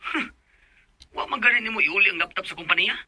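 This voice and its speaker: A man's voice: high-pitched, brisk, very noisy, natural voice. A man playing a scornful, bullying office manager in a Bisaya radio drama, mocking and curt, with a Cebuano Filipino accent.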